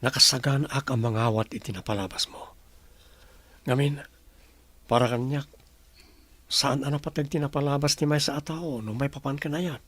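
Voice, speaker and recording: A man's voice: medium pitch, fairly even intonation, normal speed, long pauses, bright, forceful tone. A man with a Filipino accent playing an earnest young suitor and humble husband in a radio drama. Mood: earnest, tender. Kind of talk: Ilocano radio drama acting.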